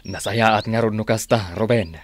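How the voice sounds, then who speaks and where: Resolute Filipino fighters' chief male actor: fairly deep, calm command, radio drama with faint noise and birds. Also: lightly acted. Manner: measured, authoritative.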